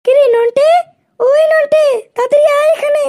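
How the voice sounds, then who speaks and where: A woman's voice: very high voice, steady tone, brisk, mellow. A woman voicing an obliging, curious village mother in a comic cartoon. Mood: calling out.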